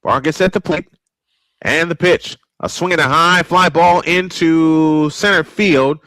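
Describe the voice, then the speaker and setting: A man's voice: a little high, a little slow, sharp tone, no room sound, rough recording. A man calling a baseball game live in an old-school play-by-play style, with short clipped calls and chatty asides.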